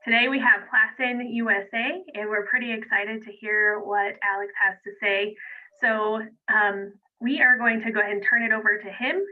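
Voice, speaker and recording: Woman hosting a webinar over a tinny line. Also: mid-range voice. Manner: friendly, relaxed, some fillers. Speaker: webinar host. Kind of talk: casual introduction. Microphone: video call. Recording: tinny phone-like audio.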